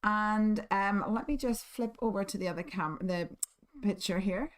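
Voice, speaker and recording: A hoarse woman hosts a live crafting stream, her voice husky and half gone. She chats in a friendly, rambling way, full of ums and small laughs, at a middling pitch. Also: unhurried, slight background noise. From United Kingdom. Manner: chatty, friendly, many ums and little laughs. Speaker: craft stream host and shop owner.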